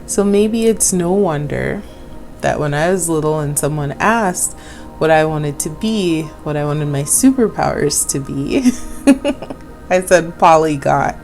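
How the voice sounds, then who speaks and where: A woman hosting her own vlog, talking in a gentle, affirming way with small laughs, raised in Jamaica. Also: low-pitched, lively intonation, a little slow, soft background music. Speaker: channel host. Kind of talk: casual vlog talk.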